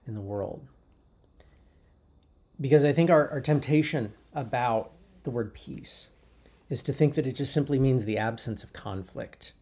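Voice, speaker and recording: A man preaching a sermon from the pulpit of a church. His voice is mellow and slightly husky, and he speaks in a thoughtful, unhurried way. He often restarts his phrases as he works his thoughts out aloud. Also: on the low side. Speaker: pastor. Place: church sanctuary.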